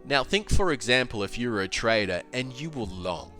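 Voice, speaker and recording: A man's voice: medium pitch, normal speed, soft background music. A man with a stuffed-up, slightly nasal voice, talking casually and emphatically about trading in a solo video. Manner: animated, emphatic, with filler and restarts. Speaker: crypto trading educator.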